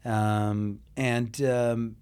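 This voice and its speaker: A man from Israel speaks in a mid-pitched voice as an interview guest. His delivery is earnest and halting, full of restarts and small fillers as he gathers his thoughts. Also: level tone, darkish tone, clear, resonant tone. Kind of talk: conversational interview.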